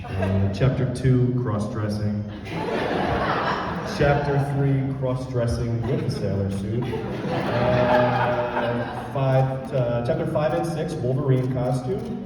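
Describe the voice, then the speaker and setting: A man's voice: mid-range voice, slow, loud music behind the voice. A man giving a humorous scripted wedding speech, read aloud like a storybook. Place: large reverberant event room at a wedding. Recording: very echoey, noisy hall from far off.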